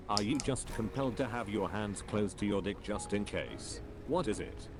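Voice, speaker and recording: A man with a somewhat low voice reads forum posts aloud at a flat, steady pace. Clear music plays underneath him the whole time. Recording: music playing loudly behind.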